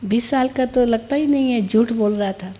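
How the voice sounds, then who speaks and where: A woman's voice: on the high side, moderate pace, warm tone. A woman giving a didactic spiritual discourse, posing questions and repeating phrases for emphasis. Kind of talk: spiritual discourse with everyday analogies and parables. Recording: long reverberant hall echo.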